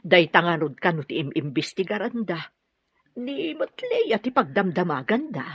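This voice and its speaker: A woman's voice: lowish voice, very animated, moderate pace, slightly rough, no echo. A woman playing a concerned confidante in a radio drama, talking over a troubling disappearance. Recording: dull lo-fi audio over music.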